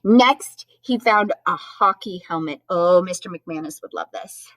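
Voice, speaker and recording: A woman's voice: fairly low pitch, animated, measured pace, fairly bright tone, dry recording. A woman teacher reading a children's storybook aloud, expressively, with chatty asides that explain things simply to young listeners. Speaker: schoolteacher.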